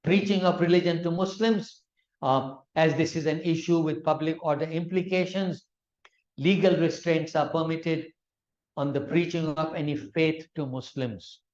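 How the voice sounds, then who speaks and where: A man's voice: fairly high pitch, fairly even intonation, normal speed, a little mellow, lo-fi sound. A man whose first language is Urdu, lecturing on law in an explanatory way with examples and frequent fillers. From Malaysia. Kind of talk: academic lecture.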